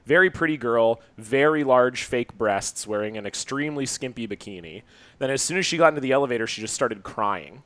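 A man's voice: mid-range voice, animated, brisk, a bit bright, no room sound. A man co-hosting a podcast, telling rambling, profane stories in casual comedic chat. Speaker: podcast co-host.

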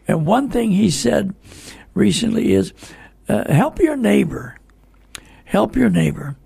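An older man hosting a radio talk show, speaking conversationally in a folksy, halting way straight to his listeners. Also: high voice, big pitch swings, creaky, dark tone, breathy edge, dry recording.

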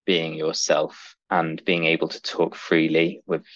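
A very low, deep voice that sounds like a man's to the ear. The speaker talks confidently and earnestly as an interview guest, with a slightly dull recording. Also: level tone, a little slow, a bit bright. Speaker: podcast guest, real estate lawyer. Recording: dull sound, echoey room.

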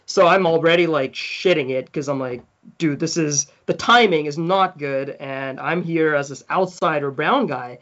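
A man's voice: fairly high pitch, moderate pace, a bit bright, lo-fi sound. A man in his thirties, originally from South Africa, telling stories as a podcast guest, laughing often and acting out the people he quotes. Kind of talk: casual storytelling.

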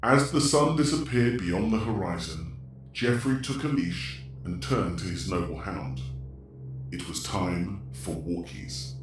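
A man's voice: deep voice, lively intonation, a little slow, music in the background. A man acting a solemn, mock-ominous storyteller, giving dramatic scripted narration for an audio drama. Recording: very echoey, distant, noisy ambience.

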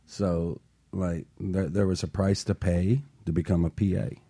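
Deep mellow guy hosting a motivational talk show, gets fired up and earnest. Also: flat delivery, unhurried, husky. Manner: earnest, rising to passionate emphasis. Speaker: talk show host and life coach.

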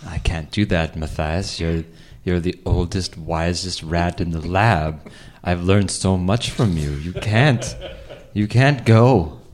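A man's voice: low voice, a little mellow, voice pushed down. Improv radio play: male performer as a lonely scientist, clingy and stammering, begging for support. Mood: sad, subdued. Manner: halting, pleading, stammering. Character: needy, lonely male lab scientist clinging to his rat.

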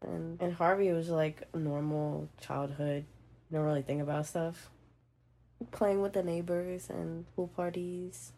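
Casual young woman with creaky voice. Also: fairly low pitch, fairly even intonation, a little slow, mellow. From New Orleans area, born in Puerto Rico. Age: young adult. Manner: casual, with frequent likes and laughs. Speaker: interviewee, younger sister. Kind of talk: casual personal storytelling.

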